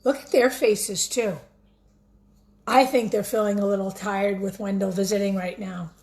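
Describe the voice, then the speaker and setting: A woman teacher reading a picture book aloud expressively, pausing to put questions directly to young listeners. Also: mid-range voice. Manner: expressive, addresses children directly.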